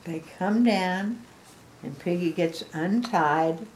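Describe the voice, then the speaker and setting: A woman's voice: on the low side, takes it slowly, a little mellow, a little hiss or hum, poor audio. An older woman reading a picture book aloud to small children, explaining the pictures as she goes. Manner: explains the pictures, addresses the listener. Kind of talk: expressive storybook read-aloud to small children.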